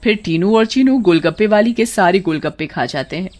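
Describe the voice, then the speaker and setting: A woman's voice: mid-range voice, expressive, fairly quick, a little mellow. A woman storyteller reading scripted narration for a village tale.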